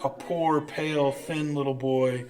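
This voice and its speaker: Man acting a halting, slow-spoken old miser. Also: fairly high pitch, fairly even intonation, over music, lightly acted. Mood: sorrowful, regretful. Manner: stammering, halting, exclaiming. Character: anxious old miser man, fearful, wistful, remorseful. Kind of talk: 1940s-style radio drama acting.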